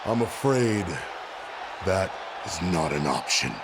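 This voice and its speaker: An actor playing an arrogant, menacing wrestling champion who delivers boastful, deliberate threats in a video game promo. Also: male voice, deep voice, sing-song, exaggerated voice. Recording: noisy arena with crowd behind.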